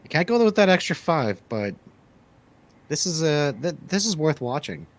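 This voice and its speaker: A man's voice: fairly high pitch, sing-song, moderate pace. A man hosting a casual movie review radio show, bantering in a playful, jokey manner.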